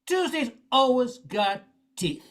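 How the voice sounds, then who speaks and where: Older man as a gruff Brooklyn detective, swooping delivery. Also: very high-pitched, very animated, slow pace, fairly bright tone, full character voice. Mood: gruff, cynical. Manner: hard-boiled, world-weary narration. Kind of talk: radio play acting.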